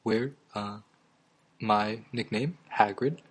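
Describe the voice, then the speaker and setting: Halting deadpan young man hosting. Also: on the low side, slightly rough. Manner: halting, full of ums and uhs. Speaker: comedy talk show host. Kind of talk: absurdist deadpan comedy chat.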